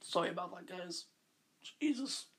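A man hosting a casual podcast who rambles through his monologue. His voice is croaky, and he keeps correcting himself. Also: high voice, animated, normal speed, a bit bright. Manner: rambling, with frequent self-corrections. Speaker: podcast host.

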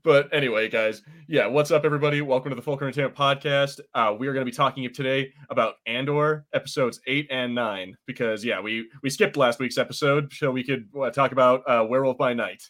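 A man's voice: mid-range voice, fairly even intonation, a little fast, hardly any pauses, fairly bright tone. A man hosting a podcast with casual, jokey banter and reviews, often crude and sweary. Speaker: podcast host.

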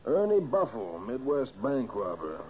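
A man's voice: mid-range voice, very animated, unhurried. An American man playing a wry, folksy older police inspector and father in an old-time radio drama. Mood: matter-of-fact. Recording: muffled, hissy old radio recording.

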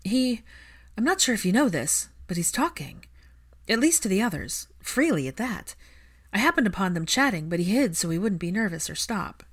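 A woman's voice: medium pitch, very animated, moderate pace. A woman voicing an earnest, grateful young witch with a polite, emotional manner in a story reading. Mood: shy.

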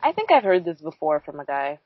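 A woman hosts a relaxed podcast chat, asking questions and throwing in teasing, laughing asides. Her voice sounds close and dry on a dull, lo-fi recording. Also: low voice, a little fast, dry recording. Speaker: podcast co-host.

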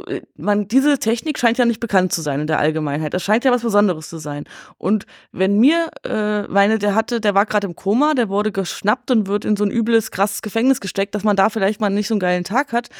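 A woman with a mid-range voice talks casually about films as a podcast guest. Her delivery is chatty and colloquial, with plenty of emphasis. The sound is dry and free of room echo. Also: medium pitch. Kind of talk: casual film discussion.

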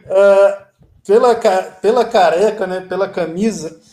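A man in his fifties from Brazil, a guest telling stories in a relaxed, chatty way. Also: high voice, measured pace, echoey room. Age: 50s. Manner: storytelling, lively and chatty. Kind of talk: casual interview chat.

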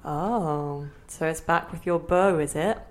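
A woman's voice: low-pitched, slow. A woman playing the wry, meddling inner voice of an old woman in an audio play. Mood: wry, resigned. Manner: sardonic, conspiratorial, grumbling.

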